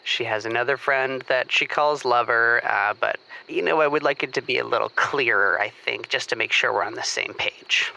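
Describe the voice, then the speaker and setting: An actor playing an earnest, lovestruck boyfriend who hesitantly and wordily phones a comedy advice show. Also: male voice, mid-range voice, vocal fry, sharp tone, rough recording. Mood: anxious, uncertain. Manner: hesitant, halting, wordy. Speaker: caller. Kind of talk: improvised comedy call-in.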